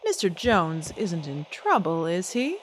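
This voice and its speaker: A woman's voice: lowish voice, big pitch swings, unhurried, a little hiss or hum. A woman playing a poised, defiant celebrity singer in an old-time radio drama, speaking with composed, pointed, genteel diction. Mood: guarded, curious.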